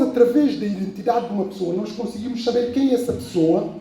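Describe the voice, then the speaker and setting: A man's voice: very high-pitched, dark tone. A man from Guinea-Bissau with a Guinea-Bissau Portuguese accent, preaching a sermon in a rambling way, repeating phrases and saying "pronto" often. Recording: very echoey room, voice from far off.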